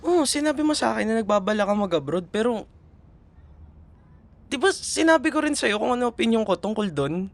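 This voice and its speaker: A man's voice: high voice, very animated, a little fast, vocal fry, long pauses, full character voice. A man playing a young Filipino boyfriend, tender and proud, in an intimate audio roleplay, with a Filipino accent. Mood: hurt, frustrated. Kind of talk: ASMR boyfriend roleplay drama.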